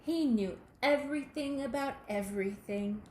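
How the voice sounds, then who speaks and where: A woman reading a children's picture book aloud, in a deliberate, expressive way aimed at young listeners. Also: high voice. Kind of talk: children's storybook read-aloud. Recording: echoey room with faint background noise.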